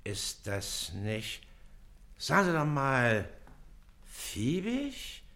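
An actor playing an autocratic, pompous Prussian official with a clipped, imperious manner in a drama. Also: male voice, mid-range voice, wildly swooping pitch, very slow, sharp tone, full character voice.

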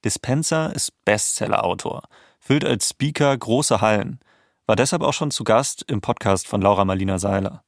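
A man's voice: on the low side, moderate pace, creaky. Man narrating in an echo-free-sounding studio style. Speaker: documentary podcast host. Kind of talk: scripted narration.

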